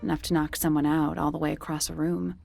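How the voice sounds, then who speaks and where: A skeptical female detective in a mystery game, dry in manner. Her voice sits on the low side with plenty of vocal fry, over faint music. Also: flat delivery, fast, a little mellow, exaggerated voice. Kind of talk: video game voice acting, dialogue. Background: background music.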